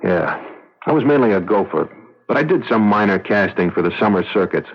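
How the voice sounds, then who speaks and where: An actor with an American accent playing a self-serving young agency gopher in a radio drama, slangy and hard-boiled, with a husky voice. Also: male voice, lowish voice, animated, fairly quick. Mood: wry, reminiscing. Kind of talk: 1970s radio drama acting. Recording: dull vintage radio recording.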